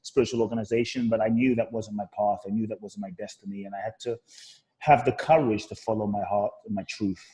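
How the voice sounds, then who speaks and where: A man's voice: on the low side, steady tone, fast talker, warm tone, rough recording. A man from Ghana, raised in London, a guest author and coach telling his life story earnestly in a talk show interview.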